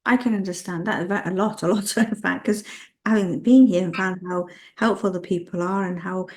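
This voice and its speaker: A woman's voice: medium pitch, fairly even intonation, normal speed. A woman hosting an interview, asking long rambling questions and affirming her guest. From United Kingdom. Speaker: host. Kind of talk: casual interview.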